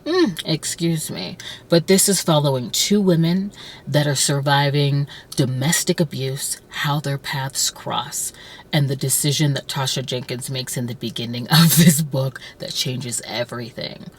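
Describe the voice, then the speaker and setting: Low-voiced woman, gushing book reviewer. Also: unhurried, slightly rough, rough recording. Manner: gushing, effusive. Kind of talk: casual, enthusiastic book review chat.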